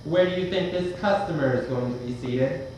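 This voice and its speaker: A man's voice: fairly high pitch, moderate pace, a little mellow, close to an everyday voice. A man playing a confident, superior veteran theater usher mentoring a newcomer in an amateur drama. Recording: very echoey room, distant, faint noise.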